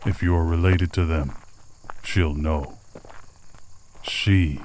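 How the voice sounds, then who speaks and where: A man playing a gruff, predatory werewolf scout in an ASMR roleplay, with a threatening, taunting delivery. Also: sits low, steady tone, extremely slow, darkish tone, slight background noise, low-quality recording. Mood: threatening, commanding.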